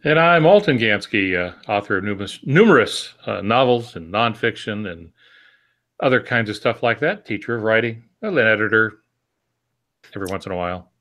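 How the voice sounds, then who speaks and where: A man's voice: lowish voice, lively intonation, normal speed, fairly bright tone. A man co-hosting a writing podcast, easygoing and joking in casual chat. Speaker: podcast co-host, author and writing teacher.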